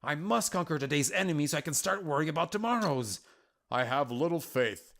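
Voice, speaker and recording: A man's voice: a little high, animated, a little fast, a bit bright. A man voicing a troubled, defiant warrior woman in a story, earnest and questioning in an agitated manner. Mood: defiant, frustrated. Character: Arya, troubled defiant young female warrior burdened by war.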